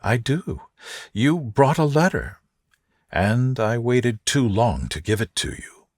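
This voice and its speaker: A man voicing an earnest, reserved gentleman in love with a low, tender, measured manner, in a bedtime storybook. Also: lively intonation, no echo, clean studio sound. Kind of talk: soothing bedtime storybook dialogue.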